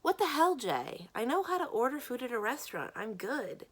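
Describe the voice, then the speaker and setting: A woman voicing a prickly, exasperated teenage boy in a story, blunt, sarcastic and forceful. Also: lowish voice, moderate pace, vocal fry.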